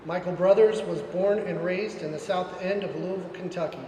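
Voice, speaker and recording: High-voiced male announcer in a reverberant hall. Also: fairly even intonation, very noisy. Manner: formal, measured announcing. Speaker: master of ceremonies. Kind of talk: reading out graduate introductions. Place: large indoor hall. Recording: echoey hall from far off.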